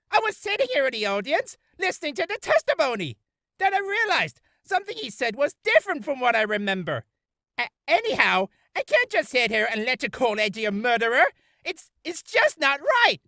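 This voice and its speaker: A melodramatic young man from a video game. The voice is shrill, strained and wailing, with a very high pitch and sharp, bright edge. The sound is close and dry. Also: wildly swooping pitch, moderate pace, creaky, no echo, full character voice. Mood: agitated insistence. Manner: wailing, overwrought. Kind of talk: character voice reel, game acting.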